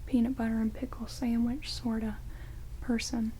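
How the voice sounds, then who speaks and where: A woman playing an ancient, quirky vampire in an ASMR roleplay, speaking in halting, hushed whispers and soft murmurs. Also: on the high side, level tone, a little hiss or hum, close to an everyday voice, poor audio. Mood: wry, teasing. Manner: hushed, rambling, halting, drawn-out words. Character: ancient vampire, quirky, blunt yet caring, bandaging wounds. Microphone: close binaural microphones. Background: heavy rain.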